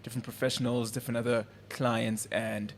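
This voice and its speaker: A man from Zambia, a creative director guest talking in a calm, measured way. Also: medium pitch, vocal fry, a little hiss or hum. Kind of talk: casual interview talk.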